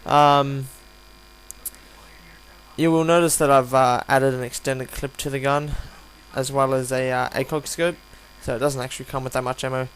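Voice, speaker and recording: A tired man with a croaky voice gives casual, unscripted game commentary, rambling and hesitant as he reviews. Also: mid-range voice, level tone, normal speed, a bit bright, low-quality recording. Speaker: gaming video host reviewing a weapon. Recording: home recording with audible hum, background noise.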